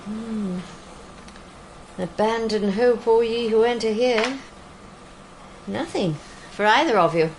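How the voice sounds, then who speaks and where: Slow, sardonic woman pathologist. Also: a little high, slight background noise, poor audio. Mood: deadpan. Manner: deadpan, literate, sardonic. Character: dry, witty female police pathologist. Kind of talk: British radio drama acting.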